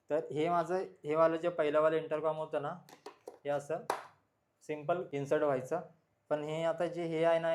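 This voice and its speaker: A man's voice: a little high, darkish tone. A man, speaking as a vlogger. He chats casually to viewers, often repeating himself as he explains what he is showing. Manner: informal, repetitive, explains while showing things. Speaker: vlogger, motorcycle channel host. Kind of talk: casual product showcase chat to viewers.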